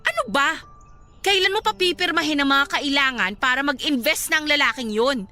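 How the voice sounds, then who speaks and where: A woman's voice: high voice, normal speed, pushed and bright. A woman playing a smug, cold-hearted young wife and scornful rival in a Filipino radio drama, dismissive and taunting, with a Filipino accent. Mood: dismissive, impatient. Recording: dramatic music bed behind the voice.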